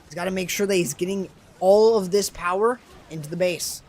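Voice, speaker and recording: A young man commenting live on a video game match, excitable and quick to burst into exclamations, his voice strained and starting to go hoarse. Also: high-pitched. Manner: excitable, rising to sudden exclamations. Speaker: esports commentator and streamer.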